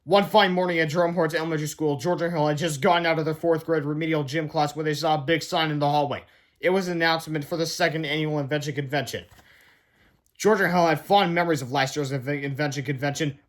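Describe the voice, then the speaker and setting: A man narrating a children's book aloud in an expressive, emphatic storytelling way. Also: a little high, fast talker. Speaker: read-aloud reader.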